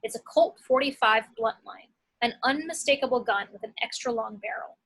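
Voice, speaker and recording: A woman's voice: a little high, a little fast, fairly bright tone, slightly rough, low-quality recording. A woman reading screenplay action and scene headings as scripted narration.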